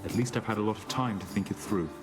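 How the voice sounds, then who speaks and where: An actor playing a humble old former king, a shade doing penance, who speaks in an earnest, modest and gentle way. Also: on the low side, quick pace, lightly acted. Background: game music.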